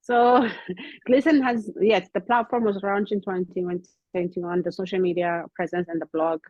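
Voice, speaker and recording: A woman from Ethiopia, a company founder, explaining hair care in an earnest way, often pausing with ums, over a video call. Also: medium pitch, fairly even intonation, darkish tone, dry recording. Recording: dull, slightly lo-fi call audio.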